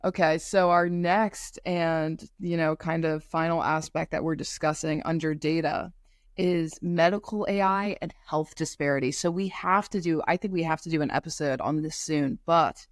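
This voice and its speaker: A young woman co-hosting a podcast, talking casually and stopping often to restart her sentences. Her voice sits a little low. The recording is dry and close. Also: fairly even intonation, no echo. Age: young adult. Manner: halting, many fillers and restarts. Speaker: podcast co-host. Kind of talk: casual conversational discussion.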